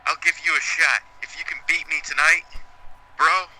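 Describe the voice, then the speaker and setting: A man voicing a proud male wrestler answering a challenge, with dramatic drawn-out emphasis. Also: a little high, fairly even intonation, sharp tone, pitched above the performer's own voice, rough recording. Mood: defiant. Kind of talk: in-game wrestling promo.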